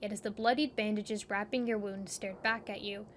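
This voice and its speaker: A woman's voice: medium pitch, fairly even intonation, normal speed, a little hiss or hum. A woman reading scripted second-person narration for an audio drama, over ambient sound.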